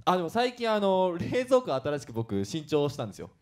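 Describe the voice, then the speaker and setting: A man's voice: fairly high pitch, very animated, fairly quick. A man co-hosting a talk show in casual, jokey, bantering chat. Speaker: radio show co-host.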